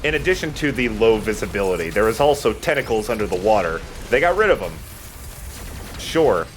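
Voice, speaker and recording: A man's voice: lowish voice, very animated, brisk, a little hiss or hum. A man giving casual, joking commentary as he plays and hosts a let's play. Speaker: let's play host and player. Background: video game music and combat sounds.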